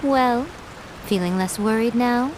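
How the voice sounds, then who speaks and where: A woman's voice: fairly high pitch, unhurried, heavy background noise, close to an everyday voice. A woman voicing a sly, elegant shrine maiden in an anime game, composed, knowing and teasing. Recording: game music behind.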